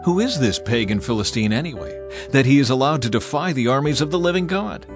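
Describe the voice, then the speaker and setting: A man's voice: mid-range voice, lively intonation, brisk, music in the background, pitched above the performer's own voice, natural voice. A man voicing a bold, earnest young shepherd who speaks with confidence.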